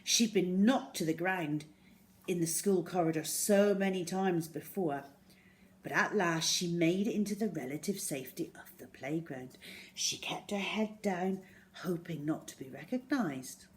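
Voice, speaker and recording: A woman reading a children's story aloud in an expressive way, acting out the words as she goes. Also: on the low side, measured pace, a little hiss or hum. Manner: expressive, acts out dialogue and sound words.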